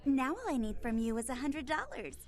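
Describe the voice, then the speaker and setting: Flirty, swooping nightclub dancer. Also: female voice, sits high, very animated, brisk, creaky, exaggerated voice. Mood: flirty, coy. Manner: coy, purring sales patter. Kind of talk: video game voice acting.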